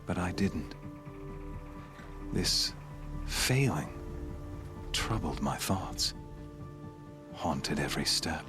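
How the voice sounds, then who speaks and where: A fanatical champion of a sun cult in a video game. His voice is very low and he speaks slowly, with grand, ominous measure. Dramatic music plays clearly behind him. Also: expressive, heavily acted. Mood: fervent, ominous.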